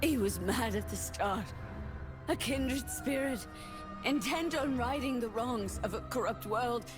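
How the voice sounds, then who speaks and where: A woman's voice: a little high, a little slow, music in the background, natural voice. An actress playing a solemn, rueful idealist woman in a fantasy game. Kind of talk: scripted game voice acting.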